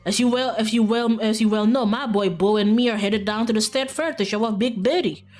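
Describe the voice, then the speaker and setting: A woman voicing a gruff, stubborn old rural hunter with a Southern drawl, grumbling in a folksy, threatening way for a game. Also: fairly high pitch, a little fast, darkish tone, close to an everyday voice. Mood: stubborn, proud.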